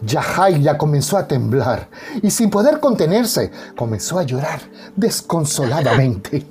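A man's voice: a little high, very animated, a little slow, a little mellow, faint music behind. A man giving dramatic, scripted narration for a radio soap opera in a melodramatic storytelling manner.

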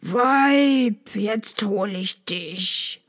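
A woman voicing a cruel, menacing knight who threatens his wife in slow, drawn-out words. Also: mid-range voice. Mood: raging.

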